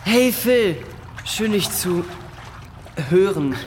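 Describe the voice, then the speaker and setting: Male actor as level-headed young boy in kids' cave adventure: high voice, lively pitch, long reverb. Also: drawn-out words, heavily acted. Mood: calm, encouraging. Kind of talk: children's audio drama. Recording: reverberant cave effect, faint water noise.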